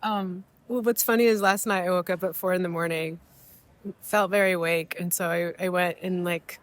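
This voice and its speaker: A woman's voice: on the low side, fairly even intonation, moderate pace. Reflective Mexican-born woman chatting. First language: Spanish. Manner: meandering, reflective, with many fillers and restarts. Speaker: podcast co-host. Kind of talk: casual chat. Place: airport waiting area.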